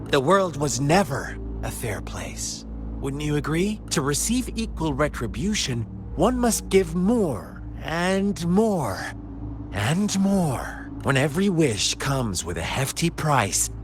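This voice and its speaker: An actor voicing a theatrical, menacing video game villain who tells dark parables in a playful, taunting way with drawn-out phrasing. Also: male voice, a little high. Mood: probing, bitter. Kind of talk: dramatic video game voice acting.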